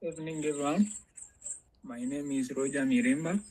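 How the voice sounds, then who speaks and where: A man from the Congo giving a polite, measured introduction to a class. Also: fairly high pitch, fairly even intonation, darkish tone, a little hiss or hum. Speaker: student. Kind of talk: formal self-introduction.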